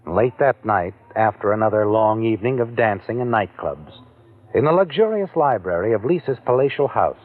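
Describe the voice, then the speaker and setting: A man's voice: medium pitch, narrow, tinny audio. A male narrator speaking to the listener in second person, with a taunting, insinuating manner, in an old radio recording.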